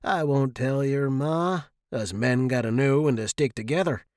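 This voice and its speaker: A man's voice: mid-range voice, unhurried, a little mellow, no room sound, studio quality, close to an everyday voice. A man voicing a crude, hot-tempered working-class father with coarse, slangy, blustering speech in an audiobook story. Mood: conspiratorial. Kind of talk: acted audiobook dialogue.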